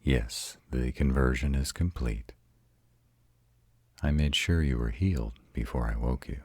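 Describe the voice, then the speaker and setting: An arrogant yet devoted vampire, played by a man for a romantic roleplay audio. His voice is extraordinarily deep with a husky rasp, recorded dry and close. He speaks deliberately and tenderly, like a patient, intimate teacher. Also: darkish tone, no room sound, full character voice. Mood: gentle, reassuring. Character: arrogant male vampire, devoted mate and patient mentor to a newly turned partner.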